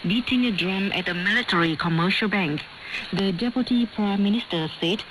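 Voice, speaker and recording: A woman reading formal scripted news as a radio newsreader, heard over shortwave radio. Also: mid-range voice. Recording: noisy, hissy shortwave radio broadcast.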